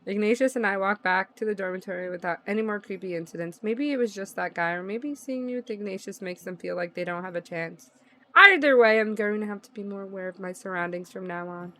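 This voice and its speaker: A woman's voice: mid-range voice, fairly quick, sharp tone. A woman livestreamer reading visual novel narration aloud and reacting in a playful, slangy way. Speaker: livestream gamer.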